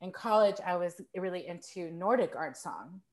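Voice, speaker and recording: A woman chatting as a podcast guest, telling stories in an animated, self-mocking way. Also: lowish voice, moderate pace. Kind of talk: casual conversational storytelling.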